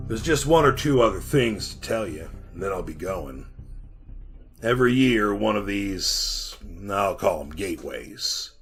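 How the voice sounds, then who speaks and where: An actor playing a sinister, haunted survivor who serves an underground power, telling his tale deliberately in a retro radio drama. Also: lowish voice, very animated, a bit bright, faint music behind. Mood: ominous, insistent. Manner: ominous, deliberate storytelling.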